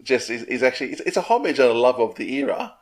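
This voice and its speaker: A man's voice: mid-range voice, sing-song, fast, a crowd in the background. A man co-hosting a casual interview discussion, talking with earnest enthusiasm. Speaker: interview podcast co-host.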